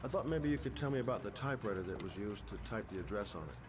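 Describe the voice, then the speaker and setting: A man playing an easygoing middle-aged private investigator in a video game, polite, casual and low-key. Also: expressive, very fast, background noise, close to an everyday voice. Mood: relaxed, friendly. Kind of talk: video game dramatic acting.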